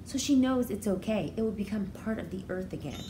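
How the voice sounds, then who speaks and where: Woman, preschool educator, animated read-aloud, simple explanatory asides, clear background noise. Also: medium pitch, fairly quick. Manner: expressive, simple explaining to young children.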